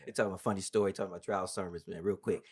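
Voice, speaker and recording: A man's voice: sits low, steady tone, very fast, breathy edge. A middle-aged man hosting a casual interview, bantering and affirming with frequent "yeah" and "wow". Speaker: interview host.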